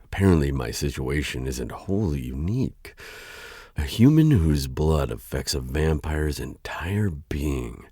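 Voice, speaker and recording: A man playing a conflicted vampire lord in an ASMR roleplay, aloof yet courteous and softening, with a hesitant, stammering, musing delivery. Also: dry recording, studio quality, full character voice. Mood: musing, unsettled. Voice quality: very deep, gravelly, husky voice. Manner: hesitant, stammering, musing with sighs and trailing pauses. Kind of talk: ASMR roleplay audio drama acting.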